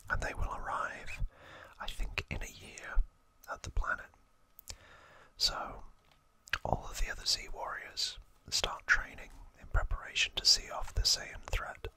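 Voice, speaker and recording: An ASMR host whispering trivia in a breathy, unvoiced voice, explaining hesitantly with soft pauses.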